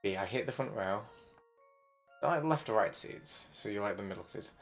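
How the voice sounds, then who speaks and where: A man's voice: lowish voice, expressive, normal speed, gravelly, faint music behind. A man playing a puzzle game and commenting, half muttering as he reads the puzzle text aloud. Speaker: game player. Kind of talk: let's play commentary.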